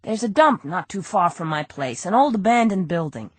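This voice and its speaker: Woman plays a sniveling two-bit crook, high and whiny, rough grammar, nervous. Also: very animated, normal speed, no echo. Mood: whiny pleading. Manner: whining, pleading, rough grammar.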